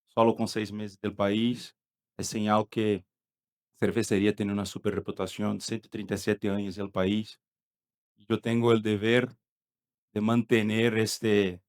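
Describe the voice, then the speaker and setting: A middle-aged man with a Brazilian accent, a native Portuguese speaker, giving remarks on a panel as a company executive. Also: on the low side.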